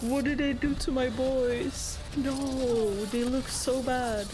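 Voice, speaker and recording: A woman's voice: high-pitched, steady tone, slow, noisy background. A woman giving casual, blunt gameplay commentary full of slang and swearing, as a streamer reacting to a game. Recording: game music behind the voice. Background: video game music and sound.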